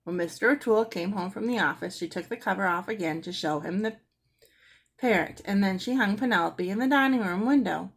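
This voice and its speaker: A woman reading a children's book aloud as an expressive storyteller. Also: medium pitch, brisk, slightly rough. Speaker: storybook reader.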